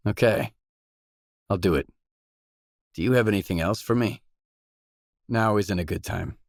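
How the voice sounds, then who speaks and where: A man's voice: fairly low pitch, dry recording, studio quality. An actor playing a pragmatic male mercenary stalker in a video game, speaking in restrained, matter-of-fact, clipped lines. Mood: businesslike, matter-of-fact. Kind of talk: video game character dialogue.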